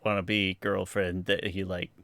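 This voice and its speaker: A man's voice: deep voice, little pitch movement, measured pace, a bit bright. A man co-hosting a podcast, giving emphatic opinions with wry asides in casual chat. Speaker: podcast co-host.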